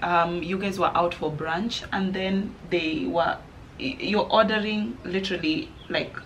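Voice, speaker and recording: A woman's voice: fairly low pitch, steady tone, a little slow, fairly bright tone, slight background noise, poor audio. A woman, hosting a casual chat in a playful, teasing way. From Kenya. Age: 30s. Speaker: host.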